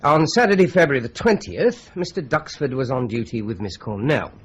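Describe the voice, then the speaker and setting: An actor playing a methodical male detective who reads out alibis in a measured, deliberate way in a radio drama. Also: medium pitch, lively intonation, slight background noise, lightly acted. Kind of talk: 1950s-style BBC radio drama.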